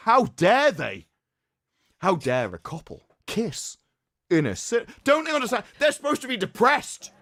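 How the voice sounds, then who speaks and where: A man with a fairly high, very animated voice delivers mocking commentary. He is sarcastic and theatrical, stressing his words like a dramatic reader. The sound is dry, with no room on it. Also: no room sound. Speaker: streamer host.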